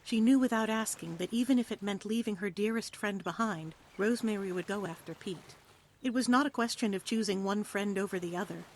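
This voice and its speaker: A woman narrates an adventure story from a script. Her voice sits at a middle pitch, and her delivery is expressive and theatrical, suited to an audio play. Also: a little fast, a little hiss or hum, rough recording. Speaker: narrator, reader.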